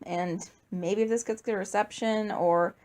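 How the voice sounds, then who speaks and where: A woman's voice: medium pitch, steady tone, unhurried, a little mellow. A woman reading and chatting as an amateur fan fiction writer and narrator. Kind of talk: scripted story narration and casual chat.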